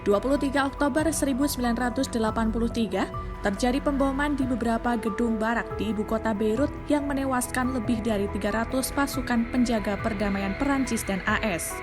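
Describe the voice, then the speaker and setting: A woman with an Indonesian accent reads a scripted news explainer in a formal, measured manner. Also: fairly high pitch. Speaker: news narrator. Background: background music.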